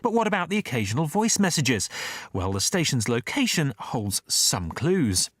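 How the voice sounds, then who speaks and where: A man's voice: medium pitch, very animated, normal speed, a bit bright, no echo. A man reading scripted investigative narration as a documentary narrator.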